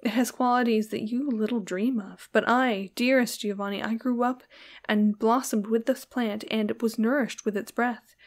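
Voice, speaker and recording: Tender, anguished young woman, high and plaintive , acted by a narrator. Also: fairly even intonation, normal speed, close to an everyday voice. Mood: tender sorrow. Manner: plaintive, pleading, archaic phrasing. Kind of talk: dramatic literary audiobook reading.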